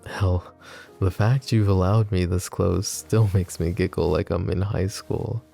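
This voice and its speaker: Low, gravelly mafia boss acted by a man. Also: steady tone, measured pace, dark tone, faint music behind, heavily acted. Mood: tender. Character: possessive, flirty mafia boss, devoted and teasing. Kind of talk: intimate ASMR roleplay addressed to the listener.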